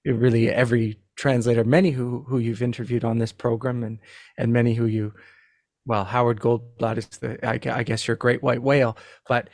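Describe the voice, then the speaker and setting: A man with a creaky voice talks casually as a guest on a podcast. His pitch stays fairly flat as he doubles back on words in a halting, self-deprecating way, recorded very dry. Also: no echo. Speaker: podcast guest, literary translator. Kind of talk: casual conversation.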